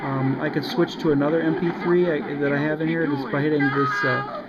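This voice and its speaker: A man's voice: a little high, flat delivery, a little fast, over a noisy crowd. A man casually demonstrating a radio, hesitant and full of ums and restarts. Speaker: radio reviewer. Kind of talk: casual product demo. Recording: lo-fi with loud radio static.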